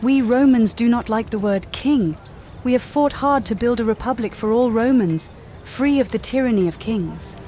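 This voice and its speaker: A woman's voice: a little high, moderate pace, warm tone, a little hiss or hum, close to an everyday voice. An actress playing a poised, determined young Roman noblewoman in scripted game dialogue. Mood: resolute. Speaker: protagonist of a role-playing game.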